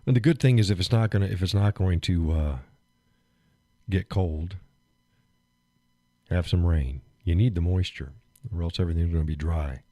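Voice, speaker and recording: A man hosting a live stream, chatting casually and rambling with fillers and chuckles, phlegmy and clearing his throat. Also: low voice, normal speed, long pauses, dark tone, no room sound, studio quality. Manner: rambling, chuckling, thinks aloud with many fillers. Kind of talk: casual chat reading viewer comments.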